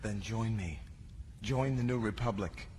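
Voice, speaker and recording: An actor playing a calm, merciful Jedi Master in an audio drama, speaking in earnest, measured declarations. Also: male voice, on the low side, slight background noise, natural voice. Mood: gentle, solemn. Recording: loud music behind the voice.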